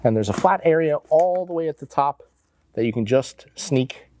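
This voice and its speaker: A man's voice: on the high side, lively intonation, normal speed, warm tone, a little hiss or hum. An American man in his forties giving a casual, plain-spoken step-by-step tutorial as an installation instructor.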